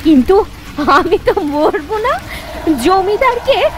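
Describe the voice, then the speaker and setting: A man's voice: very high-pitched, lively intonation, takes it slowly, heavy background noise, voice pushed up, full character voice. Man putting on a shrieking vengeful ghoul woman. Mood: menacing. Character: shrieking bloodsucking female ghoul, vengeful spirit.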